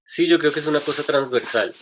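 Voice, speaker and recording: A man's voice: fairly high pitch, quick pace, slight background noise. A middle-aged man, a stage performer, answering interview questions thoughtfully with frequent hesitations and restarts.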